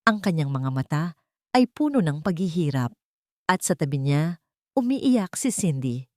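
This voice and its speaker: Female audiobook narrator for scripted drama, low register, dry studio-like sound. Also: big pitch swings, measured pace, a little mellow, no room sound.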